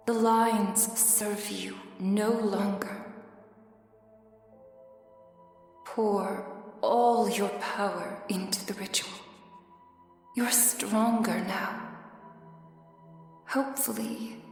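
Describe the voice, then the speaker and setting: A woman voicing a solemn, remorseful fey spirit mentor in a scripted ASMR fantasy roleplay, speaking in a soft, hushed half-whisper with grave resolve. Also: medium pitch. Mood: solemn, hopeful. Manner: hushed, slow, deliberate, solemn. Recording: very echoey, long reverb, music behind. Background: music.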